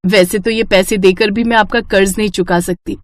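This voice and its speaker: A woman's voice: mid-range voice, steady tone, normal speed, no room sound, natural voice. Woman acting a humble, grateful poor mother. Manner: deferential, earnest. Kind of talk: acted dialogue in a children's moral story.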